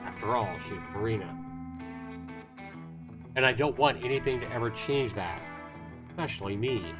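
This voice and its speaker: A man's voice: medium pitch, animated, normal speed. A man reading visual novel narration aloud on a livestream, telling it dramatically with the occasional stumble. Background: guitar music.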